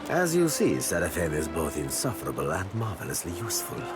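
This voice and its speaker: Deep, suave pirate captain. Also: male voice, wildly swooping pitch, fairly quick, loud music behind the voice. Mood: smug, pleased. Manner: formal, flowery, smugly courteous. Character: suave, pompous gentleman pirate captain, genteel and calculating. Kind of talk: video game character dialogue.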